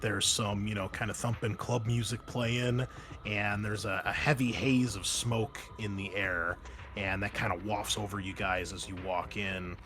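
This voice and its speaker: A man running a tabletop roleplaying game as game master, narrating off the cuff in a halting, conversational way. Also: fairly low pitch, fairly even intonation, fairly bright tone, slight background noise. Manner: halting, conversational, thinking aloud. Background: background music.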